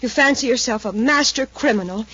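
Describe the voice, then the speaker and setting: A woman's voice: a little high, fairly quick, fairly bright tone, slightly rough. An actress playing a defiant, anxious captive woman, scornful of her captor, in 1950s radio serial style. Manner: tense questioning, scornful. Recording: muffled 1950s radio recording.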